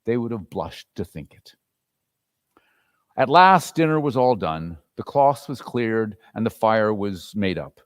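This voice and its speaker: A man narrating a classic story theatrically, expressive and with dramatic pauses. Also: fairly low pitch, no echo, clean studio sound.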